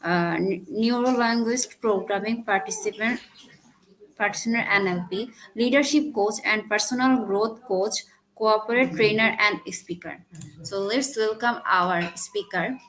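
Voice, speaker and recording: A woman from Bangladesh hosting an online webinar, reading a formal welcome in a halting way and restarting her lines. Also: medium pitch, fairly bright tone, rough recording. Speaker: webinar host.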